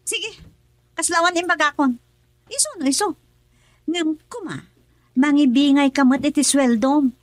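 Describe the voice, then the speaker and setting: A woman's voice: sits high, unhurried, pauses often, no echo. An actress playing a young Ilocano wife in a radio drama, teasing and nagging her husband.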